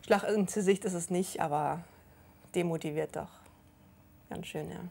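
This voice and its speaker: A woman's voice: lowish voice, moderate pace, vocal fry, slight background noise. A woman in her forties in casual conversation as a private seller, giving short, understated replies. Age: 40s. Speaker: seller of her household items. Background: loud background music.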